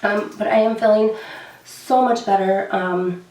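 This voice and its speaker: A young woman beauty vlogger with a hoarse, recovering voice, chatting casually to camera with many fillers and repeated words. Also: medium pitch, steady tone, a little slow, a little mellow, echoey room, distant mic, poor audio.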